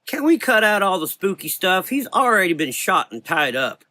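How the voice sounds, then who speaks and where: Rose, man voicing a brash high-pitched Southern belle. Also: very animated, moderate pace, pushed and bright, no echo, exaggerated voice. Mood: sardonic, impatient. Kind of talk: gothic horror audio drama.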